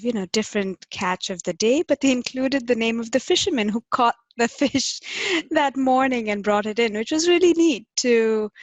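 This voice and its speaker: A woman's voice: mid-range voice, no room sound. A woman co-hosting a podcast, speaking conversationally as an interviewer.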